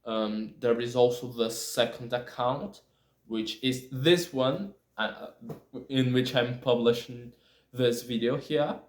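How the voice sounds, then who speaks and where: Slow-talking Brazilian man in an echoey room. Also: medium pitch. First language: Portuguese. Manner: halting, self-correcting, searching for words. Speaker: video creator. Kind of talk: casual talk to camera about language learning.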